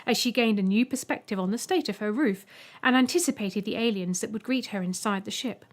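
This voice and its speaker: A woman reading a scripted short story as an audiobook narrator, with expressive storytelling. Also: mid-range voice, fairly quick.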